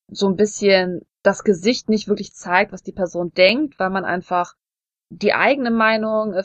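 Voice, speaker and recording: Casting: woman, conversational podcast co-host, self-deprecating storyteller, medium pitch, dry sound. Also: no echo, lo-fi sound. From Germany. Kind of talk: casual conversational podcast talk with personal anecdotes.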